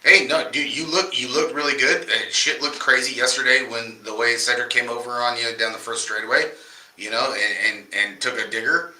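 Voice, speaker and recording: A man with a very bright, cutting voice chats loosely and profanely as a livestream co-host, sounding a little nasal from a cold. He is recorded in an echoey room and drifts off the mic now and then. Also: medium pitch, steady tone, moderate pace, recorded from a distance. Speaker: podcast co-host. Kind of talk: casual livestream chat. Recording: roomy echo, off-mic at times.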